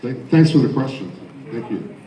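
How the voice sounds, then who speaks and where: A man who works as a voice actor, answering casually and haltingly on a panel. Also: mid-range voice, animated, a crowd in the background. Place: convention panel room. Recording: echoey room, distant mic, faint noise.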